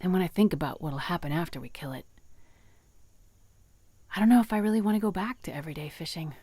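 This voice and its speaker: A woman's voice: on the low side, fast talker, mellow, breathy edge, no room sound. An actress playing a frank, curious village fisherwoman in an audio drama, blunt and searching with a teasing streak. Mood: troubled, confessional.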